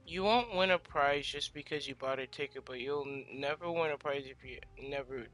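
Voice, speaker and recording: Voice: medium pitch, normal speed, a bit bright, low-quality recording. A teenager chatting casually while commenting over video game play. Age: teens. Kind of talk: casual chat over gameplay. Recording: faint game music behind.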